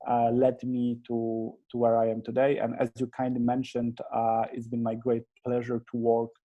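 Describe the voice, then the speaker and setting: A Polish-accented man in his thirties answers interview questions with a mellow, dark tone. His delivery stays flat and even, and he keeps restarting his sentences in an earnest, halting way. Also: mid-range voice, muffled recording. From Poland. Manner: hesitant, many restarts and fillers, earnest. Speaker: interview guest, agency founder. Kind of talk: conversational interview.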